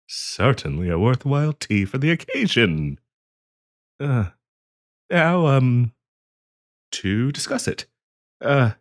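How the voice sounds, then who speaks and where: A man voicing a courteous, earnest half-dragon diplomat in a roleplay audio, speaking politely and hesitantly to the listener. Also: medium pitch. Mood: light, genial. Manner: hesitant, polite, halting with frequent ums and soft chuckles.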